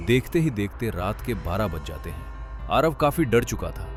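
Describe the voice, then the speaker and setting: A man's voice: on the low side, big pitch swings, normal speed, over loud music. A man narrating a scripted cartoon story as a storyteller.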